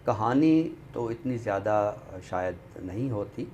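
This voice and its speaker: A man with a Pakistani accent discussing books in a deliberate, scholarly way, often pausing mid-sentence. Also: lowish voice, expressive, darkish tone, lo-fi sound. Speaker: literary show presenter. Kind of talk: book discussion in Urdu literary talk. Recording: noticeable background noise.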